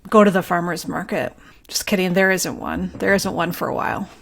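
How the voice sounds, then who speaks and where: A woman's voice: fairly low pitch, steady tone, fairly quick, vocal fry, fairly bright tone. A woman talking casually and conversationally as a regular guest co-host on a podcast. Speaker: recurring podcast co-host and local journalist.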